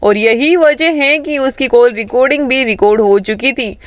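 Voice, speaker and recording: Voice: mid-range voice, moderate pace, clear, resonant tone, no echo, studio quality. A narrator recapping an upcoming television serial plot for viewers in an emphatic storytelling manner. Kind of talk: dramatic plot recap narration with quoted dialogue.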